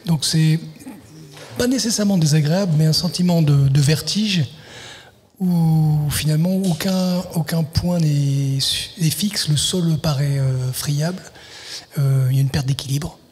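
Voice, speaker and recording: Thoughtful, hesitant male guest with a husky voice. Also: fairly high pitch, measured pace, a little mellow. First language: French. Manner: thoughtful, hesitant, frequent restarts. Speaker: literary translator, guest. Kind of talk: interview answers. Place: festival venue, live broadcast.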